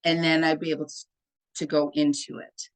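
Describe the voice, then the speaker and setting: A chatty woman with a deep, full voice, sitting somewhat low in her register. She speaks as a hypnotherapist guest in a relaxed interview and keeps dropping in "like" and "right?" as she goes. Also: brisk, poor audio. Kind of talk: casual conversation.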